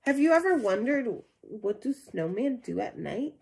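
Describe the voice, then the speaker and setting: A woman's voice: fairly high pitch, very animated, unhurried, creaky, darkish tone, breathy edge. A young woman reading a children's picture book aloud, adding simple questions and asides for young listeners. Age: young adult. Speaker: storybook reader. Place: small indoor room at home.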